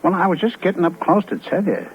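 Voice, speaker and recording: A man's voice: sits high, very animated, fast talker, creaky, slight background noise, exaggerated voice. An actor playing a pushy, wheedling American hoodlum sweet-talking a woman in a 1950s radio crime drama, full of brash slang. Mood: smooth, coaxing. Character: slick, pushy fugitive hoodlum wooing a woman. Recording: muffled old radio recording with music.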